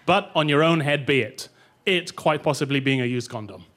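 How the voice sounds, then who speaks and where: Male comic host, deadpan, animated delivery, live audience. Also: medium pitch, normal speed, very noisy. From Malaysia. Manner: deadpan punchlines, chuckles at own jokes. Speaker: comedy show presenter. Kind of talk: stand-up style topical comedy segment.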